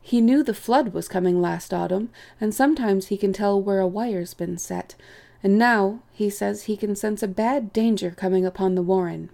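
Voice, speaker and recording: A woman's voice: mid-range voice, moderate pace, creaky, dark tone, close to an everyday voice. A woman voicing a polite young male rabbit in an audiobook, hesitant, earnest and deferential. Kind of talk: audiobook character voice.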